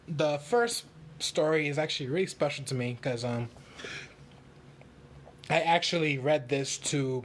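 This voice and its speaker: A man hosting a children's storytime in casual livestream chat, with a goofy, joking manner and drawn-out delivery. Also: a little high, slight background noise.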